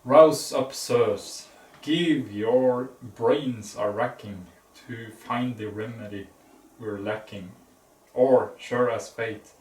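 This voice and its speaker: Male amateur reader, slow and stumbling through old verse in a roomy, slightly distant recording. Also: lowish voice, darkish tone. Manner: halting, checking unfamiliar words. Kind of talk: reading old verse aloud. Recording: echoey, distant microphone.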